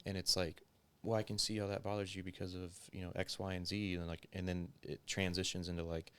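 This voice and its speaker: A man's voice: deep voice, flat delivery, brisk, clean tone. A young man chatting casually as a podcast guest, rambling and profane, with lots of "like" fillers.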